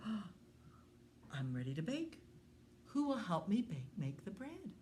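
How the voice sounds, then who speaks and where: A woman voicing a hardworking, indignant hen in a storybook, with emphatic, drawn-out words. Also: medium pitch, very animated, warm tone, slight background noise. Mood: determined.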